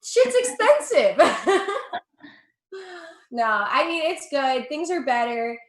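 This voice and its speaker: Bright, lively young woman comedian. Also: high voice, slow pace, echoey room. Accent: American. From Russia. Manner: chatty, profane, self-mocking, laughs at own jokes. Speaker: stand-up comedian. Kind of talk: stand-up set.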